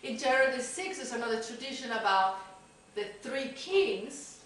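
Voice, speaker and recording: An upbeat woman presents a cooking show in a chatty, casual way. Her voice sits a little high. She is recorded at a distance in a kitchen that echoes heavily. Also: expressive, normal speed, fairly bright tone, slight background noise. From Mexico. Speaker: cooking show host, chef. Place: home kitchen, indoors. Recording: very echoey room, distant camera mic.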